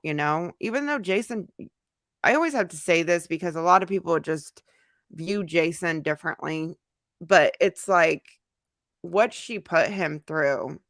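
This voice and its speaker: American woman doing a chatty livestream, lowish voice with lots of fry, super dry close sound. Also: fairly bright tone, no echo. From United States. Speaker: livestream host, YouTuber. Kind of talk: casual livestream chat, answering viewer comments.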